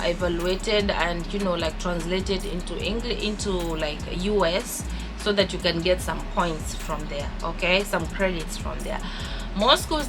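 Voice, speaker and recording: A young woman with a Kenyan accent chats warmly and upbeat straight to camera, often repeating words as she goes. Her voice sits at an ordinary middle pitch for a woman. Background music plays clearly behind her the whole time. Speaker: vlogger. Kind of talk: casual vlog talk to camera.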